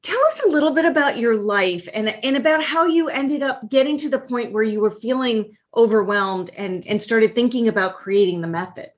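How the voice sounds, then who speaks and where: A woman hosting an interview, asking measured questions and rephrasing them as she goes. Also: on the high side. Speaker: interviewer, host.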